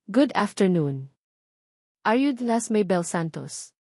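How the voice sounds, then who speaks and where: A woman's voice: medium pitch, big pitch swings, slow pace, dark tone, dry recording, studio quality, full character voice. Cold, formal bank woman, voiced by a woman narrator. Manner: emotionless, formal. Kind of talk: acted dialogue in story narration.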